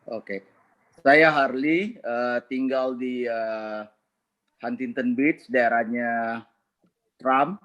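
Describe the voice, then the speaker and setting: Guy on zoom, halting and slow, muffled sound. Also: mid-range voice, fairly even intonation, a bit bright. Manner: hesitant, halting. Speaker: meeting participant. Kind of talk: self-introduction. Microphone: video call.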